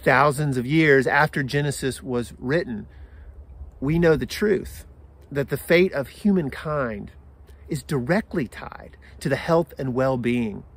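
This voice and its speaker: A man in his forties with an American accent, a pastor giving a sermon in measured phrases with deliberate pauses. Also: medium pitch, husky. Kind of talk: sermon for an online service. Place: outdoors in a garden.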